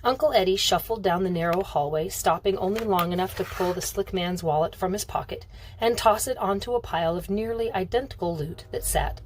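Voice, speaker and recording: A woman reading a novel aloud as expressive narration. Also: fairly low pitch, a little hiss or hum, low-quality recording. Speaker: reader of a novel.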